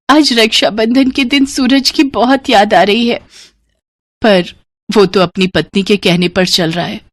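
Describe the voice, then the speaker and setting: Woman voicing devoted village sister, high voice, earnest, emotional, protective, dry cartoon dub. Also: dry recording, lightly acted. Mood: sad. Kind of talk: Hindi moral cartoon dubbing.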